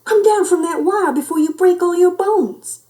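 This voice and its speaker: A storybook mother cat at her wits' end, put on by a woman. She scolds with dramatic exasperation and loving worry. Her fairly high voice rises and falls widely. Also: very animated, unhurried, darkish tone. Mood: wailing alarm. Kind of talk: children's storybook character voice.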